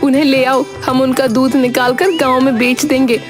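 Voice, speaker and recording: A woman voicing a shrill, dramatic village wife. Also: steady tone, moderate pace, lightly acted. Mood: scolding. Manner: scolding, plaintive, dramatic. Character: sharp-tongued, distraught young village wife and mother. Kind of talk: animated folk tale character voice. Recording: music behind the voice.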